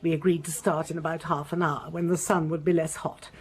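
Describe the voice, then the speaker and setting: An actress playing an imperious, fussy titled lady, brisk and precise in a radio drama. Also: deep voice, flat delivery, slightly rough. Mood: brisk, disapproving.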